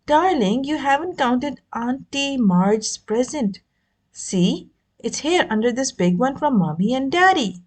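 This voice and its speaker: A woman's voice: high-pitched, expressive, unhurried, voice pushed up. A woman voicing a fussy storybook mother and aunt who swings between cloying and snappish. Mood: coaxing, doting. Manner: cloying to her son, sharp otherwise. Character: doting, snappish middle-class aunt and mother.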